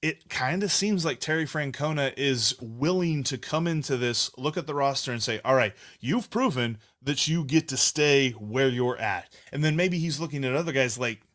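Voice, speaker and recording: A man's voice: medium pitch, normal speed, hardly any pauses, fairly bright tone. A man hosting a sports podcast, chatting casually and giving his opinions with frequent restarts. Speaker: podcast host.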